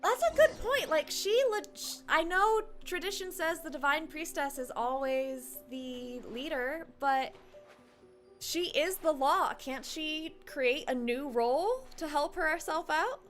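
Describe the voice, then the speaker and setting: A woman's voice: high voice, expressive, slow, a bit bright, faint music behind. A woman streaming a game and talking casually, in a reflective, rambling, slangy way as she reacts to it. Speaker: live streamer playing a game.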